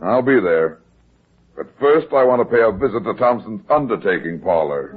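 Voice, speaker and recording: An actor playing a tough, terse private detective in classic radio drama, clipped and blunt in manner. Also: male voice, lowish voice, animated, moderate pace, lightly acted. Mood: brisk, determined. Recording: muffled, lo-fi vintage radio recording.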